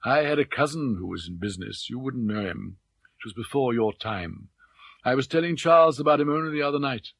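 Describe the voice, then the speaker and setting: A man voicing an eccentric elderly father in an audiobook, mild, plaintive and gently mocking, with British Received Pronunciation. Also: medium pitch, lively intonation, fairly quick, creaky, slightly rough, no echo. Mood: mock courteous.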